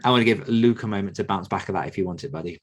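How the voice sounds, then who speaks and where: A man's voice: low-pitched, very fast. A man hosting a casual podcast interview, talking in an encouraging, conversational way. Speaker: podcast host and writing coach.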